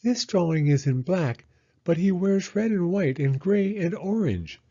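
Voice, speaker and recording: A small, anxious girl hedgehog from a children's storybook, voiced by a man. Her voice is high and tender, earnest and a touch hesitant, in a slightly dull recording. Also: big pitch swings, a little slow, dark tone, full character voice. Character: small, gentle, anxious female hedgehog searching for friend.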